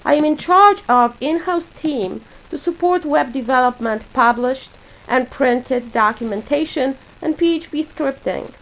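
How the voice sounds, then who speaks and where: A woman in her forties from Poland, a native Polish speaker, reading a prepared self-introduction for her portfolio in a measured, list-like way. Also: high voice, gravelly, rough recording. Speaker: graduate student presenting a portfolio.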